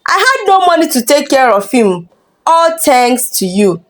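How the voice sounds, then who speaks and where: A woman's voice: high-pitched, big pitch swings, moderate pace. A woman storyteller voicing a wronged, resolute young mother, wounded and bitter, with pointed dramatic delivery in a folktale. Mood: bitter, grieving.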